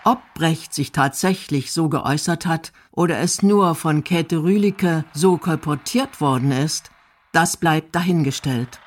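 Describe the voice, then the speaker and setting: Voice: mid-range voice, a little slow. A narrator reading scripted commentary for a radio documentary.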